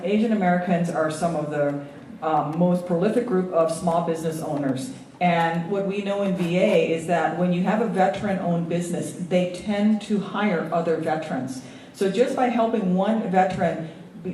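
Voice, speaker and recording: A woman's voice: on the low side, a little mellow, distant mic, slight background noise. Woman with a slight Hawaiian accent, echoey hall. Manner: earnest, halting, many ums and restarts. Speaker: federal agency official on a panel. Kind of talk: panel remarks. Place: event hall at a symposium.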